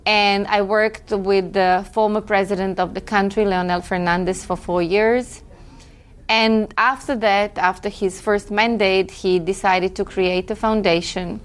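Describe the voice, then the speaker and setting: A woman speaks as an interview guest at a middle pitch. She talks in an unhurried, reflective way and corrects herself now and then. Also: fairly even intonation. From Croatia. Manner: reflective and chatty, with occasional laughs and self-corrections. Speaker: guest, film festival director. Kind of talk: relaxed conversational interview.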